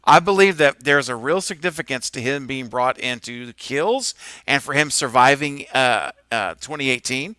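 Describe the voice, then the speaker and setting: A man's voice: medium pitch, lively intonation, normal speed, bright, forceful tone, clear, resonant tone, dry recording. A man appearing as a guest on a live stream, giving his opinions at length in a playful, joking manner. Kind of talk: casual live-stream chat.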